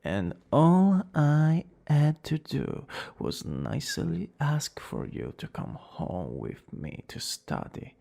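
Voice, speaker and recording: Man playing a young male villain student, theatrical, smitten, creaky voice, slow drawn-out delivery, ASMR romance roleplay. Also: a little high, huge pitch swings, dark tone, heavily acted. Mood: smug, menacing. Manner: dramatic, drawn-out boasting that slips into breathy whispers and flustered exclamations. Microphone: close studio-style microphone.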